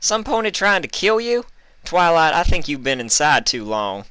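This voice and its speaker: Man voicing a folksy, high-pitched country mare. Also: very animated, moderate pace, pushed and bright, smooth. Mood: incredulous. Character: friendly, skeptical young female farm pony with country drawl.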